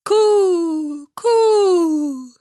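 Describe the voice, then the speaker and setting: Woman voicing high, cooing storybook wood pigeon. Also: dark tone, no echo, clean studio sound, full character voice. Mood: plaintive, eerie. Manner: drawn-out cooing cries.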